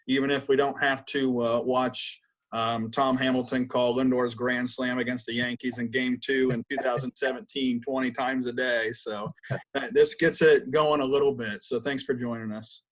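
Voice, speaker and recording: Medium-pitched American man, casual co-host. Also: little pitch movement, moderate pace, rough recording. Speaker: podcast co-host. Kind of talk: casual interview chat.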